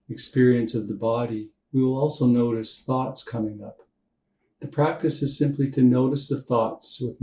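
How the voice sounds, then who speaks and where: A man leads a guided meditation in a soft, dark-toned voice, taking his time and leaving space between phrases. His delivery is gentle and calm, made for drifting off to sleep. The recording is roomy, with him sitting some distance from the microphone. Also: medium pitch. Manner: calm, unhurried, gentle instruction with long pauses. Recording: roomy echo, distant from mic.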